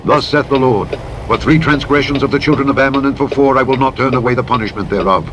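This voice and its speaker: A man narrating the Bible in a solemn, dramatic, measured and declamatory style. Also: mid-range voice. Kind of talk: solemn dramatic scripture reading. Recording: engine and vehicle noise over playback. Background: truck engine and road noise from a driving game.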